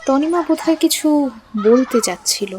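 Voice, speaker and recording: High-voiced woman narrating a love story. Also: expressive, moderate pace, a little mellow, a little hiss or hum. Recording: music bed behind the voice.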